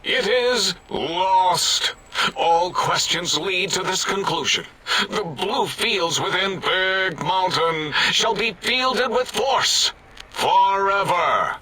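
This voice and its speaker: An actor playing an irritable, paranoid robotic scientist in a video game, raspy and halting, with grandiose declamatory phrasing. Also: male voice, sits high, expressive, bright, forceful tone, full character voice, rough recording. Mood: gloomy, declamatory. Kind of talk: video game character acting.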